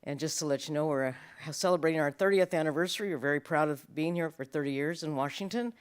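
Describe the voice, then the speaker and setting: A woman who leads a medical association speaks on a panel. She is measured and pauses on fillers now and then. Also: sits low, clear, resonant tone. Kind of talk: panel remarks. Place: conference event hall.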